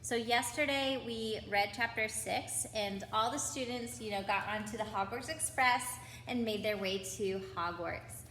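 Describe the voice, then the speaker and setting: Higher-voiced woman reading to children, echoey room. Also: a little slow, few pauses, fairly bright tone. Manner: chatty asides to young listeners. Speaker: children's story reader. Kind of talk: read-aloud storytelling for children. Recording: echoey room with background noise.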